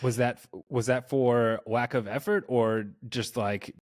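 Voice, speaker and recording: A man's voice: medium pitch, steady tone, unhurried, no echo, clean studio sound. A man co-hosting a podcast, chatting casually and informally. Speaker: podcast co-host. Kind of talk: casual chat.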